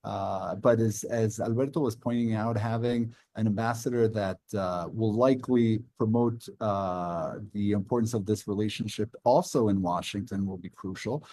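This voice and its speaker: A man speaking on an online news panel, halting, with many restarts. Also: lowish voice, fairly even intonation, mellow, no room sound.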